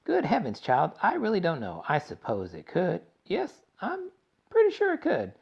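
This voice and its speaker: A man reading aloud and voicing an eccentric, excitable male chocolate maker in a high theatrical voice. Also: moderate pace, creaky, breathy edge, exaggerated voice. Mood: flustered. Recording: dull lo-fi recording.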